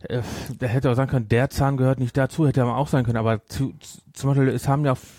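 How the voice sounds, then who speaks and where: A man's voice: medium pitch, little pitch movement, very fast, a little mellow, no room sound, clean studio sound. A man co-hosting a podcast, chatting casually in a rambling, speculating way. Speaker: podcast co-host. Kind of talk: casual chat.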